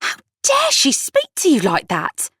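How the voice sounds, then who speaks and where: A woman's voice: mid-range voice, very animated, moderate pace, dry recording, studio quality, voice pushed up. A woman voicing a blunt, gossipy, celebrity-obsessed young woman in an audiobook, incredulous and emphatic. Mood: outraged.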